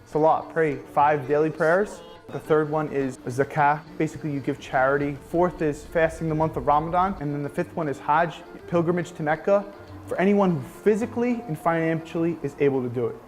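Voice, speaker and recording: A twenty-two-year-old man from New Jersey explains things in a relaxed, casual way. His voice is somewhat high for a man. Light music plays underneath. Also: brisk. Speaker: interviewee. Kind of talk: casual interview.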